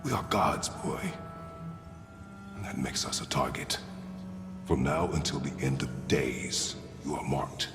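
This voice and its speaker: A stern warrior god father for a video game. The voice is extremely deep and slow, terse and commanding. It carries a long reverb with music behind. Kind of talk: video game cutscene acting.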